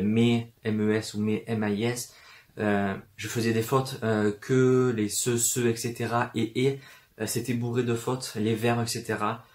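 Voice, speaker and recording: Young man, southern French accent, hesitant vlog talk, stutters now and then, lots of fillers, middle-pitched voice. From southern France. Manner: hesitant, frequent fillers and restarts; stutters at times. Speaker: book vlogger. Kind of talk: personal vlog to camera. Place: room full of books, indoors.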